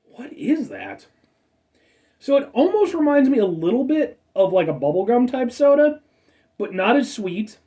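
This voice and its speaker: A man in his thirties with a stuffed-up nose hosts a casual soda review, rambling, correcting himself and adding asides. His voice is mellow and dark, with lively swings in pitch. The mic sits a little away from him. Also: measured pace, distant mic, highs cut off. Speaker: food review show host.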